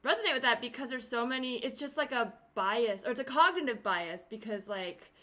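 A woman's voice: fairly high pitch, expressive, a little fast, pushed and bright. Chatty woman podcast host. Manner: chatty, laughs often, many fillers and restarts. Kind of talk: casual interview chat. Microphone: remote video call.

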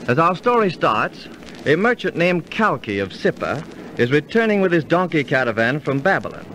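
An actor playing a knowing storyteller who explains things formally in 1930s radio style. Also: male voice, a little high, sing-song, moderate pace, fairly bright tone. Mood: formal, instructive. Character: knowing, instructive male storyteller and dining companion. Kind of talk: 1930s radio narration and acting. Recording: slightly noisy 1930s radio recording.

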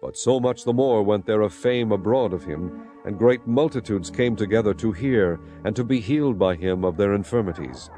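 A man narrating dramatized scripture as a solemn, measured storyteller. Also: lowish voice, dark tone. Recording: clear music bed behind narration. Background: music.

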